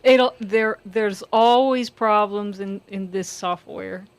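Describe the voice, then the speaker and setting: A woman's voice: mid-range voice, slow pace. A woman chatting casually as a podcast panelist, with a playful, prodding way of talking. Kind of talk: casual chat.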